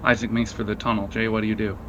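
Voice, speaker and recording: A man's voice: fairly low pitch, little pitch movement, very fast, lo-fi sound, noisy background. A man running a tabletop role-playing game, improvising narration in a casual, conversational way and reacting to his players. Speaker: game master.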